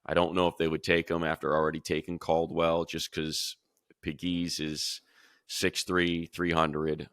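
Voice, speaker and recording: A man with a deep voice, recorded close and dry, gives unscripted football analysis. He is conversational and opinionated, working through his thoughts out loud like a sports radio regular. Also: monotone, unhurried, clear, resonant tone, no echo. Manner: conversational, opinionated, thinking aloud. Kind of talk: casual unscripted football draft commentary.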